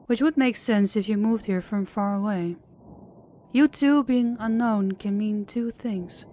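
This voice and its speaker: A woman's voice: medium pitch, measured pace, mellow, slight background noise, close to an everyday voice. A woman playing a sadistic female crime boss in an audio roleplay, speaking in a formal, controlled and menacing way. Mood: cool, businesslike.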